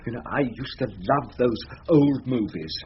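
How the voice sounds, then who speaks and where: An actor playing a pompous, flirtatious middle-aged barrister with an upper-class English accent, oily and gushing, in a radio comedy-drama. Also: male voice, mid-range voice, breathy edge, full character voice. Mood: oily flirting. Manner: oily, gushing, drawn-out flattery. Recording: dull lo-fi radio recording, background noise.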